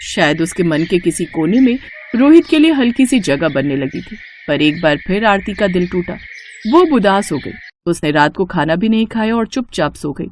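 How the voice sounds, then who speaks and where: A woman's voice: on the low side, slight background noise. A woman reading a scripted story as narrator.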